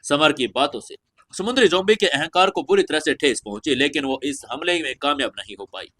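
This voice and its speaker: Animated, higher-pitched male story narrator. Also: fairly quick. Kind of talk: dramatic scripted fantasy narration.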